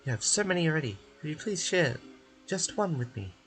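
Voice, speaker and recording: Man doing a storybook witch, high-pitched, courteous, slow pleading, music in the background. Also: sing-song, fairly bright tone. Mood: polite pleading.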